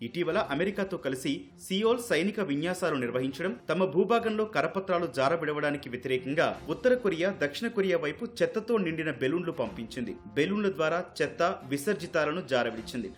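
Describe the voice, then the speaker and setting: A man narrates a scripted news report. His voice sits a little high and he reads rapidly, with faint music underneath. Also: animated.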